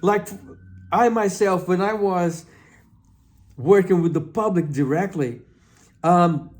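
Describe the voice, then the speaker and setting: A man's voice: sits high, slow pace, darkish tone. A man with a Brazilian accent hosting his own channel, giving earnest advice in a hesitant way, often repeating and restarting words. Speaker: channel host. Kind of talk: informal talk to camera.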